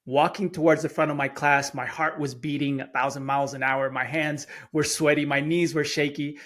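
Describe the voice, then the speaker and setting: A man's voice: on the high side, fairly bright tone. A middle-aged man from Chile whose first language is Spanish, telling a personal story earnestly as a podcast guest, often repeating words as he starts phrases. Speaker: guest speaker. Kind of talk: storytelling in an interview.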